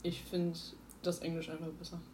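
A woman's voice: lowish voice, monotone, normal speed. A young woman in casual vlog chat, teasing her friend and often breaking into laughter. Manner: teasing, giggly. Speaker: vlog co-host. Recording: echoey room with faint hiss.

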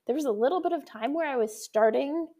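A woman's voice: high voice, quick pace, dark tone. A woman from Colorado co-hosting a casual podcast in a laid-back way, often answering in short agreements. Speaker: podcast co-host.

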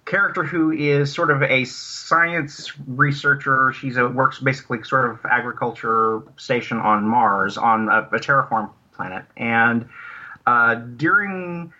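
A man talking casually as an interview guest, explaining his audio drama work in a halting, rambling, self-correcting way. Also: mid-range voice, sharp tone. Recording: dull lo-fi connection.

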